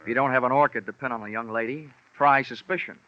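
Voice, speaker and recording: A man's voice: mid-range voice, quick pace, close to an everyday voice. An American man playing a wry, sardonic insurance investigator in mid-century radio detective drama. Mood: cool, sardonic. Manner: wry, sardonic, dry wit. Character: wisecracking, cynical male insurance investigator narrating his case. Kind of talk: 1940s-50s radio detective drama acting. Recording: muffled old radio recording with music.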